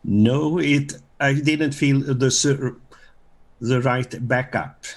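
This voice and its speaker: Old swedish guy in his 70s, slow, halting, keeps pausing. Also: mid-range voice. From Sweden. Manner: slow, halting, searching for words. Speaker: course student.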